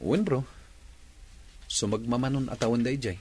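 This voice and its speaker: A man's voice: mid-range voice, a little fast, a little mellow, husky, natural voice. An actor playing an earnest, lovelorn young man in an Ilocano radio drama, with melodramatic delivery. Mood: heartbroken.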